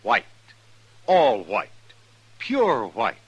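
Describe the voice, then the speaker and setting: A man's voice: mid-range voice, wildly swooping pitch, drawn-out words, slightly rough, no room sound, highs cut off. A man reading a 1950s radio commercial as announcer, emphatic and salesy. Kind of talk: 1950s radio advertising read.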